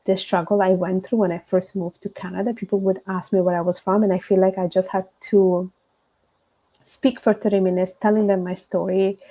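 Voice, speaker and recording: A woman's voice: medium pitch, little pitch movement, fairly quick, dark tone, rough recording. A woman from Italy with a strong Italian accent, speaking earnestly as a podcast guest, with frequent fillers. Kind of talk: interview conversation.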